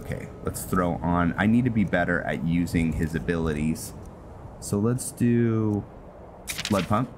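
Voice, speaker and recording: A man giving casual roleplay game commentary, halting as he thinks aloud. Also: low voice, background noise. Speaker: gameplay host and roleplayer. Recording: loud music behind the voice.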